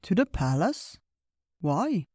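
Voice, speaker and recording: A wise old bear from a storybook , as a man puts him on, gentle and unhurried. He speaks slowly and warmly, like a grandfather telling tales. The recording is dry and close. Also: sits high, very animated, slightly rough, no room sound, pitched above the performer's own voice, full character voice. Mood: kindly. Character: kindly wise old male bear, slow-spoken.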